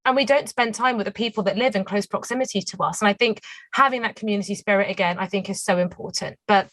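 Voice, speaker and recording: A hoarse young woman, a guest baker, chatting enthusiastically on the phone with many fillers. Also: mid-range voice, fast talker, a bit bright, no echo. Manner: enthusiastic, lots of "you know" fillers. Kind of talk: phone interview. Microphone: phone line.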